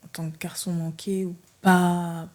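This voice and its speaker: A woman's voice: fairly low pitch, level tone, a little slow, a little mellow. A woman in her early twenties from France, answering casually and a little hesitantly as an interview guest. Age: early 20s. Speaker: podcast guest, judo athlete.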